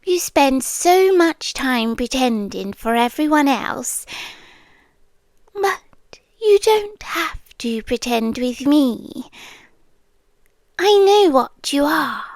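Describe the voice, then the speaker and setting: A British woman playing a possessive, adoring vampire girlfriend in an ASMR roleplay, speaking softly and breathily and often slipping into a whisper. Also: high voice, sing-song, slow pace, long pauses, dry recording, full character voice. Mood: obsessive, adoring. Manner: soft, breathy, often dropping into whispers, coaxing. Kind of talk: ASMR roleplay, slow intimate delivery with whispering.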